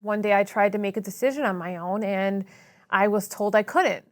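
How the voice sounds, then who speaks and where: A woman's voice: mid-range voice, normal speed. Hesitant woman in her thirties. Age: 30s. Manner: brief, hesitant answers. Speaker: interviewee. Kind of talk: personal testimony.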